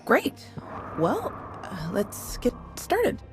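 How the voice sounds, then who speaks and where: A woman voicing an awkward, unsure Jedi master in a video game, with a hesitant, halting delivery. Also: a little high, wildly swooping pitch, full character voice. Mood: awkward, flustered.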